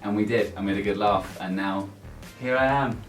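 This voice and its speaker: A man's voice: fairly low pitch, brisk, far from the mic. A man auditioning, talking casually in a giggly, self-deprecating way. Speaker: audition finalist. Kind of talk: casual interview. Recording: roomy, off-mic, faint music.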